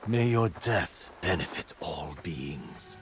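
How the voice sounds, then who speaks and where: An actor playing a guilt-laden but defiant, battle-worn rebel fighter in a video game, with a husky, gravelly voice. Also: male voice, low-pitched, lively intonation, slow, over loud music. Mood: grim resignation. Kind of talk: dramatic video game cutscene acting.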